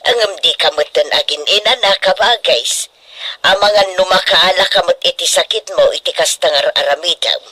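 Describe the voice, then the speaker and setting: A woman's voice: fairly low pitch, normal speed, crowd noise, close to an everyday voice. A woman playing a kindly middle-aged auntie who soothes and advises her family in a radio drama, with an Ilocano Filipino accent. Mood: friendly, curious.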